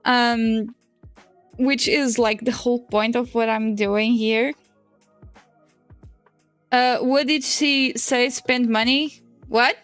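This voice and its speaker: Chatty high-voiced Portuguese woman streamer. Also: steady tone, takes it slowly, pauses often, a bit bright, clean tone, no room sound. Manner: chatty and rambling, laughs often, swears casually. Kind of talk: casual livestream chat while drawing. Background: faint music.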